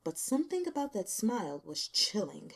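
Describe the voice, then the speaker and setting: A woman reading a story aloud as dramatic, expressive scripted narration. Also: on the high side, normal speed, breathy edge. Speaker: audiobook reader.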